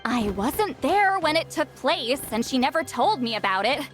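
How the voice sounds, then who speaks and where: Game role: great shaman, high voice, animated, proud, prickly, defensive. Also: over music. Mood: haughty. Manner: haughty, defensive, measured. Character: proud, prickly great shaman woman who hides her kindness. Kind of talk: video game voice acting.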